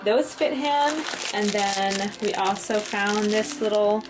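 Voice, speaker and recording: A woman's voice: medium pitch, slow pace, music in the background, rough recording. A woman in her thirties chatting casually as a family vlogger and mother. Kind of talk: casual vlog chat. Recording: clear background noise.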